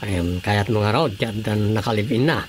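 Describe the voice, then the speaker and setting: An actor playing a skeptical, teasing brother-in-law in an Ilocano radio comedy drama, expressive and questioning, with an Ilocano accent. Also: male voice, lowish voice, normal speed, lightly acted. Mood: skeptical, relenting. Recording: faint noise with night insects.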